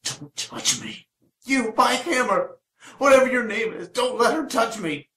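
A man's voice: extremely high pitch, animated, unhurried, sharp tone, reverberant, heavily acted. An actor playing a battered, bitter, defiant man in a radio drama, sneering and strained. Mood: frightened, defiant.

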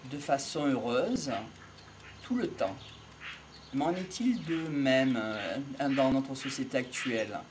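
Middle-aged man, reflective amateur lecturer, unhurried halting delivery, outdoor recording with some background noise and birds. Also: fairly high pitch. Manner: measured, halting, pausing between short phrases. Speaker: amateur lecturer on psychology and philosophy. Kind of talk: improvised reflective monologue. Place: outdoors in an open field by trees. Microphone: small wired mic held near the mouth.

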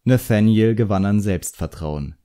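A man's voice: on the low side, measured pace, mellow. A man narrating a literary audiobook in a dramatic storytelling style.

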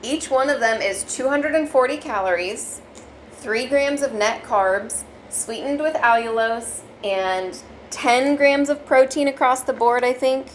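A woman's voice: high-pitched, unhurried, slight background noise. A young adult woman casually reviewing keto snacks at her dining table, chatty and explaining step by step. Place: dining room at home, indoors.

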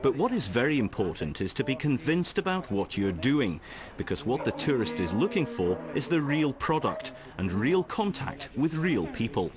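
A man reading a translated voice-over in an even, measured way. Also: medium pitch, music in the background. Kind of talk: dubbed voice-over.

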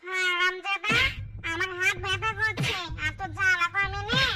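A woman's voice: very high-pitched, fairly even intonation, moderate pace, loud music behind the voice. A woman voicing a scolding, easily exasperated animal wife in a cartoon, nagging and melodramatic. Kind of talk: exaggerated cartoon voice acting.

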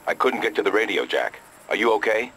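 An actor playing a brisk male guide in a video game, urgently directing the hero over a radio. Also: lowish voice, sing-song, a bit bright, low-quality recording.

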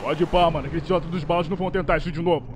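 A man plays a teasing Brazilian gangster in a video game dub. His slangy talk is high and races along. Clear noise and car sounds lie behind. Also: fairly even intonation, rapid-fire, lightly acted. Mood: brash. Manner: slangy, mocking. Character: brash, teasing male street gangster. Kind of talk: Portuguese fan dub of a crime video game.

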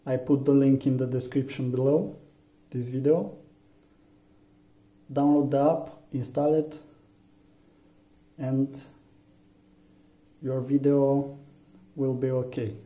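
A man from Romania with a Romanian accent, English not his first language, giving a halting phone tutorial with many fillers. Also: mid-range voice, little pitch movement, warm tone. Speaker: tech tutorial maker. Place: room indoors, phone on a table. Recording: echoey, dull lo-fi room.

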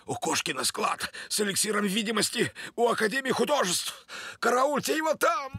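A man playing an ailing older father in an animated cartoon, complaining theatrically. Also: high-pitched, normal speed, husky, dry recording, heavily acted. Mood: urgent. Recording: loud cartoon music underneath.

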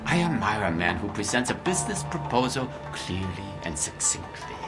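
An actor playing a haughty, disdainful information broker in a video game, speaking in a measured, pompous way. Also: male voice, lowish voice, sing-song, loud music behind the voice. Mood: smug, amused. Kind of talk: video game cutscene acting.

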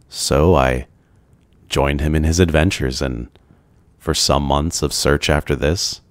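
A man voicing a brooding, lonely ancient vampire in an ASMR roleplay, gentle, courteous and soft-spoken, with hesitant pauses. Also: a bass voice, no room sound. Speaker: roleplay voice performer. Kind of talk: ASMR boyfriend roleplay, scripted.

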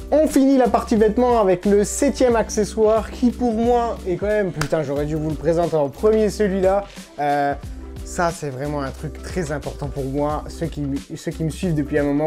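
A man in his thirties talks straight to the camera in a loose, slangy way, full of fillers and bursts of emphasis. His voice sits a touch high yet sounds mellow and relaxed. Soft music plays faintly underneath. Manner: chatty, informal, slangy and animated, lots of fillers. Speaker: motorcycle vlogger. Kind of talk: casual talk to camera. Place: home room with desk.

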